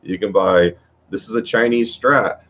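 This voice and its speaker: A man teaching guitar in a casual workshop talk, with a low voice and a rambling way of speaking, full of fillers and restarts. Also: measured pace, fairly bright tone. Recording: dull lo-fi sound.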